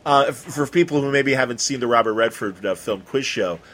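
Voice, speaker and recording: A man's voice: mid-range voice, fairly quick, fairly bright tone. A man hosting a casual interview, talking in an effusive, rambling way and stumbling over his phrases. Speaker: interview host.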